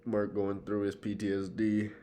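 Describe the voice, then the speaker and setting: Low-voiced, slangy American man. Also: monotone, normal speed, warm tone. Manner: slangy, profane, opinionated. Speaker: podcast co-host. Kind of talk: casual TV discussion chat. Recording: faint background noise and rumble.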